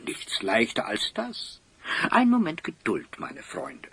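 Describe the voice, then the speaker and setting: An actor playing an eccentric, kindly old scholar and inventor in an audio drama, theatrical and pompous, with hems and chuckles. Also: male voice, on the high side, wildly swooping pitch, takes it slowly, bright, forceful tone, breathy edge, full character voice. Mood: eager, flustered. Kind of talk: German audio drama acting.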